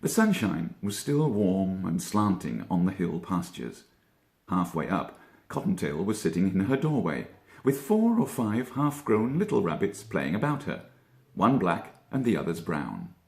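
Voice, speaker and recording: A man narrates a children's storybook with a very lively, varied delivery. He uses deliberate dramatic pauses, and the room adds a noticeable echo. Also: low-pitched. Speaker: actor reading a children's story. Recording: echoey room.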